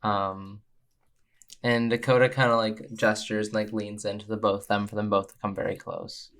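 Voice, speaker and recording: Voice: medium pitch, little pitch movement, moderate pace, a bit bright. A tabletop game player speaking hesitantly and friendly, with many fillers.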